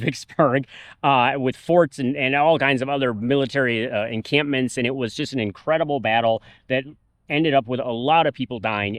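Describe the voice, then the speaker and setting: A man of middle pitch talks a travel vlog outdoors into a camera mic, and the sound is dry and close. He explains history plainly and at length, in an easy conversational way. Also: dry recording. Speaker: travel vlogger. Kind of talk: casual travel chat. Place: outdoors at a park.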